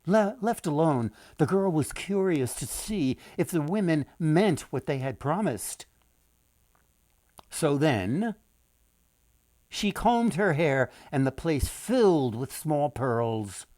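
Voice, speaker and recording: A man reading a folktale aloud as its author, in an expressive storytelling style with deliberate, dramatic pauses. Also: a little high, no room sound.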